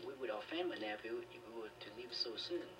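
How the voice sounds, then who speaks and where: An actor voicing a patient, formal, polite older uncle in a video game, recorded off the game's speakers. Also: male voice, medium pitch, lively intonation, a little fast, recorded from a distance, over music, close to an everyday voice. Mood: calm, courteous. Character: patient, courteous older uncle taking tea. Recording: game audio picked up off speakers.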